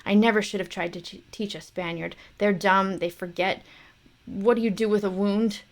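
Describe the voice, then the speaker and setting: A woman's voice: medium pitch, steady tone, normal speed, lightly acted. Woman reading older male fencing master, scornful, scolding, contemptuous.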